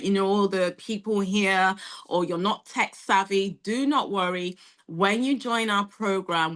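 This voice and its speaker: A woman's voice: mid-range voice, fairly bright tone. A woman presenting an online career webinar, talking straight to her audience in a direct and encouraging way. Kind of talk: motivational webinar talk.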